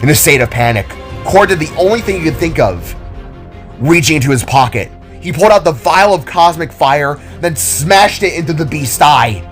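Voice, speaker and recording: A man's voice: fairly high pitch, lively intonation, normal speed, a bit bright. Man narrating a dramatic story over music. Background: background music.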